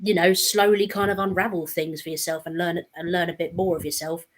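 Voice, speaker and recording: Low-voiced woman talking reflectively. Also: flat delivery, a little fast. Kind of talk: casual discussion.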